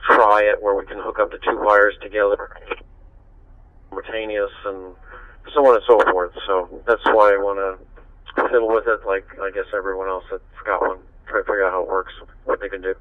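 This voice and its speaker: A man's voice: on the low side, little pitch movement, brisk, no room sound, narrow, tinny audio. A man chatting casually over a two-way digital radio, friendly and rambling as an amateur operator. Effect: two-way digital radio transmission.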